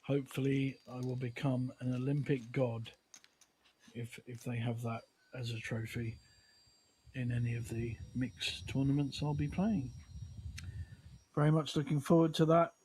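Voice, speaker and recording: A man's voice: mid-range voice, level tone, measured pace, a little mellow, slight background noise, low-quality recording. A man giving chatty, conversational live commentary on a poker livestream.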